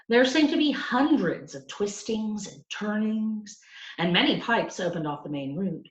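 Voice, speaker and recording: Slow, expressive woman reading a story. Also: mid-range voice, echoey room, dull audio. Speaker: storybook reader. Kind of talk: expressive read-aloud of a children's story.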